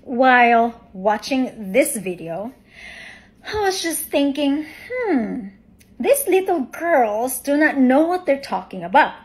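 Young woman, high voice, calm and condescending, selfie video. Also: slow pace, low-quality recording. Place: indoor room.

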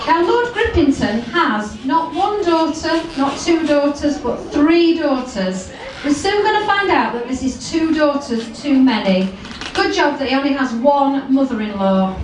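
A woman's voice: high-pitched, expressive, a little slow. A woman playing a playful pantomime storyteller, addressing the audience in theatrical announcements. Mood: announcing. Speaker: pantomime narrator. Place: large hall, live stage show. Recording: distant, very echoey hall with audience noise.

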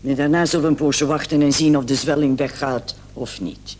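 A man playing a brisk, condescending emergency doctor on night duty in a vintage radio play. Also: on the high side, steady tone, a little mellow, natural voice. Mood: clinical, matter-of-fact. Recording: old radio recording with clear hiss.

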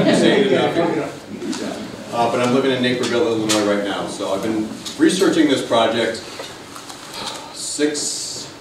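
A man's voice: medium pitch, noisy background. A man in his thirties from Connecticut giving a casual, digressive history talk to an audience in a library meeting room. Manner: chatty, digressive, self-deprecating with many fillers. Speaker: local history lecturer. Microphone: far camera, no mic seen. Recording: roomy echo, distant from the camera.

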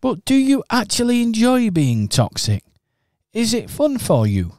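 A man performing in a local radio pantomime, earnest and expressive. His voice sits a little high and leaps around in pitch, and he speaks slowly and deliberately. The sound is dry and close, with no room around it. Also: no echo. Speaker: pantomime narrator and actor.

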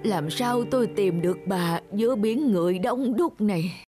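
A woman's voice: mid-range voice, moderate pace, mellow, faint music behind, natural voice. A woman voicing a loving, anxious elderly husband in a cartoon, with a pleading manner. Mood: worried. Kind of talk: cartoon dub acting.

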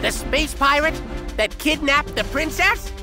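Squeaky, excitable little bus. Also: female voice, unhurried. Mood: defiant. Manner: childlike, exclamatory. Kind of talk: children's cartoon voice acting. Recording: very loud music over dialogue.

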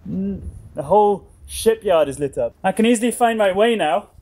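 A man's voice: high-pitched, normal speed. Chatty man filming himself outdoors. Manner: chatty, excitable, thinks aloud. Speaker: vlogger restoring a sailboat. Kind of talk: casual vlog narration. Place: outdoor boatyard. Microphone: action camera held at arm's length.

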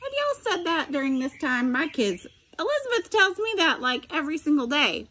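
A woman's voice: high-pitched, very animated, moderate pace, pushed and bright. A middle-aged woman reading a picture book aloud expressively, with instructive asides spoken directly to students. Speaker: special education teacher reading aloud.

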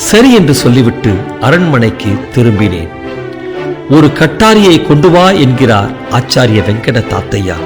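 Male narrator, dramatic first-person storytelling, animated, with clear music under. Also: medium pitch, normal speed.

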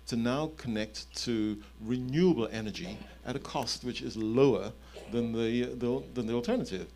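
A man's voice: medium pitch, normal speed, mellow. A man in his fifties speaking as an executive panelist, explaining concrete points at a conference into a handheld microphone. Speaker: panelist, company chief executive. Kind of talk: panel discussion talk, explaining. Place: indoor conference event on a stage.

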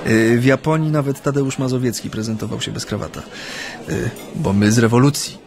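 An actor in a radio play playing a former union activist who recounts his memories in a hesitant, halting way. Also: medium pitch, crowd noise, natural voice. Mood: reflective, wry. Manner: hesitant, halting, frequent drawn-out hums. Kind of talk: radio play acting, interview-like recollection.

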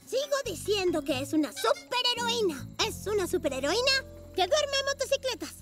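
Extremely high, boisterous cartoon kid bike. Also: female voice, big pitch swings, normal speed. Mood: insistent. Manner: exuberant, childlike. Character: boisterous, excitable little child motorbike. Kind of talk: children's cartoon dub acting. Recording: loud music under the voice.

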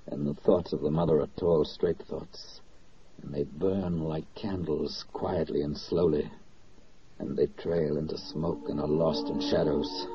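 A man's voice: extremely low pitch, steady tone, measured pace, a little hiss or hum. A man performing as a solemn, poetic narrator in a 1940s wartime radio drama, with an oratorical delivery. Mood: solemn, hushed. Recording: muffled old 1940s radio recording.

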